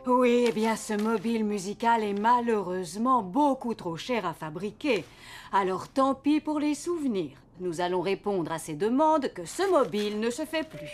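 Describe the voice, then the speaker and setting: A woman's voice: mid-range voice, lively intonation, normal speed, lightly acted. A woman playing a strict, thrifty, fretful administrator in a cartoon, with a curt, exasperated, authoritative manner. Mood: stern. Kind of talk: animated cartoon dialogue. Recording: music playing behind the voice.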